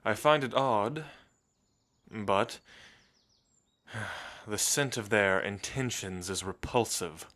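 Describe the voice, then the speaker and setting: A man playing a refined, centuries-old gentle vampire in an intimate ASMR roleplay, speaking in measured, old-fashioned phrases with thoughtful pauses. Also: on the low side. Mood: brooding, wistful.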